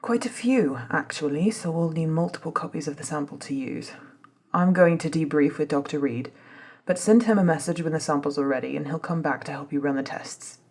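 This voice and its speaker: A woman playing a composed, authoritative female doctor in a soft-spoken ASMR drama, speaking in a measured, formal, husky way. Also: sits low. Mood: composed, businesslike. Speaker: voice actor in scripted ASMR roleplay.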